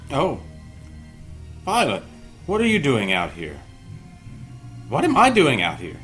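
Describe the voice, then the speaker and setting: A man acting a gentle, bewildered old man in an audio drama reading, hesitant, earnest and full of wonder. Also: medium pitch, huge pitch swings, slow, faint music behind, heavily acted. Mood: dazed.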